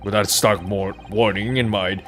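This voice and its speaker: A man's voice: fairly low pitch, fairly even intonation, moderate pace, fairly bright tone, natural voice. A man voicing a pompous, stern judge in a courtroom video game, speaking in formal, grandiose phrases. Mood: stern warning.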